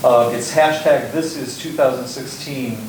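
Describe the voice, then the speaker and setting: An older man presents videos at a community meeting, halting and full of fillers. He sounds distant in a very echoey room, with a loud rumble underneath. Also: steady tone. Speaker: event presenter.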